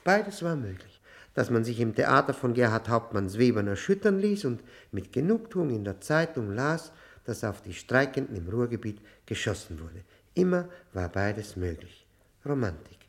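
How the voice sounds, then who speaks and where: An actor playing a bitter, educated middle-aged convict in a 1960 radio drama, halting, reflective and ironic in manner. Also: male voice, medium pitch, sing-song. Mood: rueful, agitated. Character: bitter, brooding, educated male convict looking back on youth.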